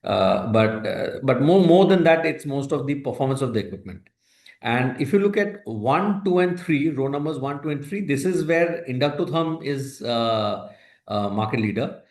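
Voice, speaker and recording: A man with an Indian accent answers investor questions as a company executive, explaining in a halting, hesitant way with broken phrases. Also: medium pitch, lively intonation, a little mellow. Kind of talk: earnings call answers, explanatory. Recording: roomy echo on a conference call.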